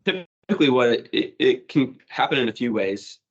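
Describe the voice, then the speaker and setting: A man's voice: medium pitch, expressive, moderate pace. Casual man on a panel, muffled. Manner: casual, many fillers like "kind of". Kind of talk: panel discussion answer.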